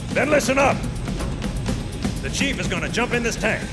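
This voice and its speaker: An actor playing a gruff, blunt marine sergeant giving commands in a video game cutscene. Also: male voice, very high-pitched, expressive, normal speed, loud music behind the voice. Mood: stern, commanding. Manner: barking, blunt, profane. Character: gruff, commanding male marine sergeant, rallying his troops. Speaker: military sergeant.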